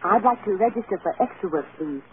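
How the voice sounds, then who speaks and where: A woman's voice: medium pitch, lively intonation, fast, close to an everyday voice. An actress playing an earnest, starry-eyed young farm girl in a vintage radio drama. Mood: hopeful. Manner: earnest, polite, eager. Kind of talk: 1930s-40s American radio acting. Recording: muffled, noisy old radio broadcast.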